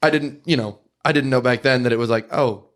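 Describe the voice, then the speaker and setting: Halting mid-pitched man telling a story. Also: fairly bright tone. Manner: halting, restarts often, chuckling. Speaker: podcast co-host. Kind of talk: casual chat.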